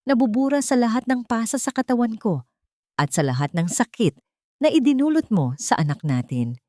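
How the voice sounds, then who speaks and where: A woman voicing a wounded young pregnant wife in an audio drama, soft but cold, resolute and final. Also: on the high side, sing-song, normal speed, dry recording, clean studio sound, pitched above the performer's own voice. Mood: cold, defiant.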